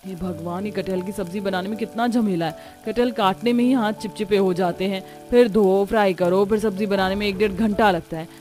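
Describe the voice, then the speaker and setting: A woman's voice: medium pitch, fairly even intonation, fairly quick, few pauses, a little mellow, soft background music, close to an everyday voice. A woman voicing a weary, put-upon young daughter-in-law in a family cartoon, plaintive but polite to her elders. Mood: weary grumbling. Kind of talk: Hindi family cartoon voice acting.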